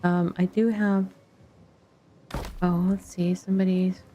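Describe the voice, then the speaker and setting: A woman's voice: on the low side, flat delivery, slow pace, warm tone, slight background noise. A woman streaming a game with casual chat, musing to herself in short fragments over music. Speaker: streamer playing an online fantasy game. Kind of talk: casual gameplay chat, thinking aloud.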